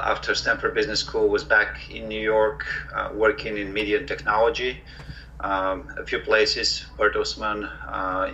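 A man in his forties from Bulgaria, with a fairly low but very bright, sharp voice. He talks slowly and reflectively, pausing often with ums and uhs, over faint background noise. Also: dull audio. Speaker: entrepreneur guest. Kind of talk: conversational interview.

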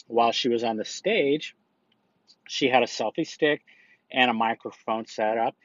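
A man's voice: medium pitch, no echo, dull audio. A man hosting a podcast, telling a conference story in a casual monologue full of fillers and restarts. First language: English. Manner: rambling, frequent fillers and restarts. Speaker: podcast host.

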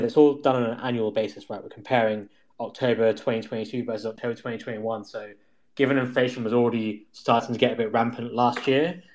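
Man giving casual, hedging market commentary. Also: mid-range voice.

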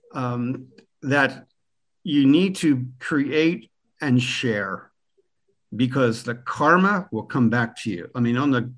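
A man in his sixties from Pennsylvania with an American accent, a guest on a podcast telling relaxed, rambling stories with the odd chuckle. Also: medium pitch. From Pennsylvania, USA. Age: 60s. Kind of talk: relaxed conversational storytelling.

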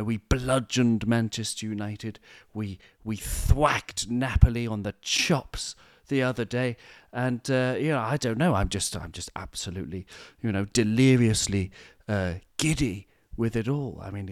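A man's voice: mid-range voice, darkish tone, dry recording, studio quality. A man in his late thirties hosting a podcast, playful and florid, with many stumbling restarts. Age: 30s. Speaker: podcast host. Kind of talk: casual podcast chat.

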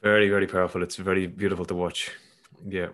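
Irish male host, very low and monotone, loose and reflective, trails off and restarts. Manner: halting, loose phrasing with many fillers. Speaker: podcast host. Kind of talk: casual reflective conversation.

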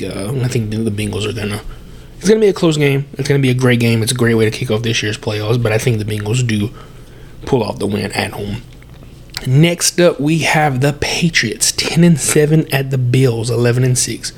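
A young man with a mid-range voice talks his way through a solo sports podcast, stuffed up and scratchy from a sore throat. He breathes heavily and keeps restarting his sentences in a loose, chatty way. A faint hiss of background noise sits under the recording. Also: medium pitch. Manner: chatty, self-correcting, heavy breathing. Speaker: podcast host.

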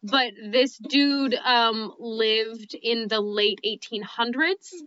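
Casting: young female podcast host, playful and informal, higher voice, close dry sound. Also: slow pace, fairly bright tone, dry recording, muffled recording. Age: young adult. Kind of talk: casual chat.

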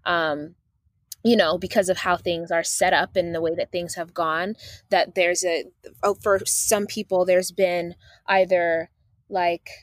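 Woman from Uganda, host in casual conversation, reflective and playful, low voice. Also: flat delivery, a little slow, no echo.

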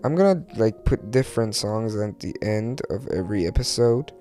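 A man's voice: lowish voice, expressive, normal speed, dark tone, soft background music. A man from Iran who later moved to Turkey talks alone as a podcast host in a rambling, confessional way, hesitant and self-deprecating, swearing casually.

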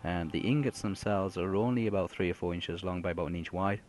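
A man's voice: low voice, fairly even intonation, fast, a little mellow. A man narrating as game master, describing a fantasy world in a rambling way with many fillers and restarts. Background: music.

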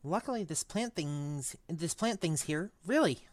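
A woman's voice: deep voice, lively intonation, a little slow, no echo. A woman voicing a blunt, nervous, sarcastic schoolboy from a storybook, given to grumbling and exclaiming. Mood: dazed.

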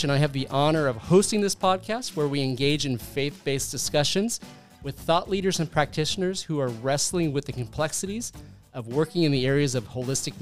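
A man hosting a faith-based podcast interview from a university studio, friendly and chatty, with fillers and easy laughter. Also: mid-range voice. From Southern California. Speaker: podcast host.

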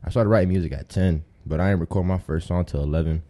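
Podcast guest: American male, early twenties, low mellow voice, loose slangy storytelling. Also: quick pace. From Tampa, Florida, United States. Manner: slangy, informal street talk. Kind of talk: casual chat, storytelling.

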